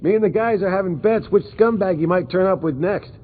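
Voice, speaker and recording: An actor playing an uneasy, cynical grunt in a video game. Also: male voice, high-pitched, fairly quick, natural voice. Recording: muffled phone-like audio, music behind.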